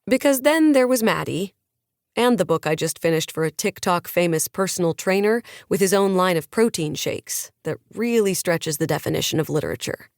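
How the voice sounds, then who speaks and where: A woman's voice: lowish voice, lively intonation, normal speed, no room sound, clean studio sound. A woman narrating a first-person novel as an audiobook in a wry, conversational storytelling manner.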